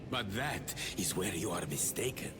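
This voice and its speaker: An actor playing an obsessive male tech-priest scholar, slow and eerily curious, in a game cutscene. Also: low-pitched, animated, noisy background. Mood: ominous. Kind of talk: video game cutscene acting.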